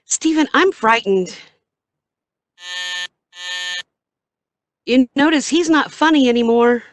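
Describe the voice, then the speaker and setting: A woman's voice: sits high, expressive, normal speed, dry recording. A woman playing a frightened young woman companion in a live amateur radio play, speaking in an anxious, pleading way.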